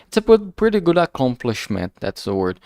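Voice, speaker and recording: A man talking casually and reflectively on a solo stream, halting often as he searches for words. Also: mid-range voice, big pitch swings, darkish tone, smooth, no room sound, clean studio sound. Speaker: indie game developer streaming.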